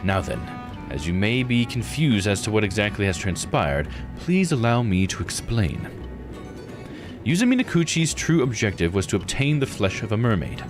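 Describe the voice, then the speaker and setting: A man's voice: fairly low pitch, very animated, normal speed. Courteous mystery-game storyteller, read by a man, formal and ceremonious, varied intonation over music. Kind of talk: scripted narration read aloud.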